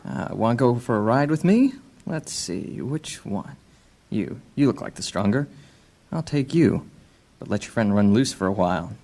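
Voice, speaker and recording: Man playing a sly, gravelly fantasy rogue. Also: lowish voice, sing-song, normal speed, warm tone, exaggerated voice. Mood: brisk. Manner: sardonic, muttering to himself. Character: sly, cocky male rogue and thief. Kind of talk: fantasy audio drama acting.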